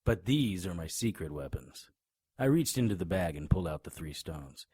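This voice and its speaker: Grim tough-guy monster hunter, low raspy voice, wisecracks, dry close audio drama sound. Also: big pitch swings, normal speed, warm tone, no room sound, exaggerated voice. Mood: wry narration. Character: hard-boiled male monster hunter, grim, cocky, threatening. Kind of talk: audio drama acting with noir first-person narration.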